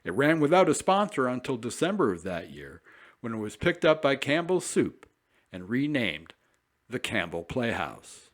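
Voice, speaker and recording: Man with lively formal presenting. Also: medium pitch, normal speed. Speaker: program presenter. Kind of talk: scripted introduction.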